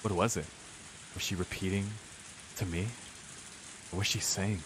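A young man with a low, deep voice reads visual novel narration aloud as a let's player, with casual reactions. Rain and hiss are clearly heard behind him. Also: fairly even intonation, measured pace.

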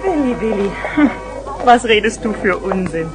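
Casting: actress as practical, disapproving sister, medium pitch, lively intonation, old radio drama. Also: female voice, moderate pace, over music, lightly acted, low-quality recording. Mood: scolding. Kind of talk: 1970s German children's radio play acting.

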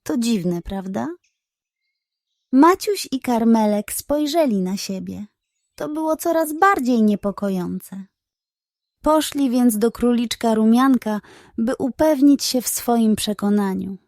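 Mellow, unhurried woman reading bedtime stories. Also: on the high side, expressive, dry recording, studio quality. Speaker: narrator of children's audio stories. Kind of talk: scripted bedtime story narration.

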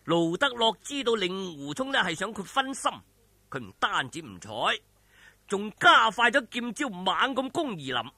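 A high-voiced man with a Hong Kong Cantonese accent tells a martial arts tale theatrically. His voice is very sharp and forceful, with a husky edge, and he keeps the suspense up in colloquial language. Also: big pitch swings, normal speed, no room sound. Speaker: storyteller. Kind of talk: dramatic audiobook-style martial arts storytelling.

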